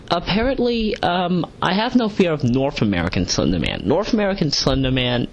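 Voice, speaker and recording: Guy doing a rambly audio log, kinda stilted and repeats himself, noisy background. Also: mid-range voice. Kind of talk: rambling audio log reading out comments with asides. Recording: clearly noisy home recording.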